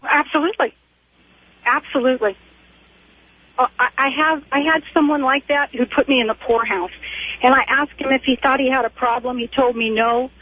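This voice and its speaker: A woman's voice: high-pitched, steady tone, normal speed, breathy edge, dry recording. An emphatic woman calling a radio show by phone to give insistent, opinionated advice, often repeating words. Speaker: call-in radio caller. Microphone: telephone. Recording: tinny, narrow phone line on radio.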